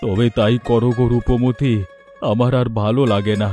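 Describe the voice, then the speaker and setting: A man's voice: medium pitch, little pitch movement, moderate pace, mellow, natural voice. A man playing an anxious, self-pitying widowed father in a melodramatic cartoon, with a plaintive, lamenting manner. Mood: worried, weary. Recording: music behind the voice.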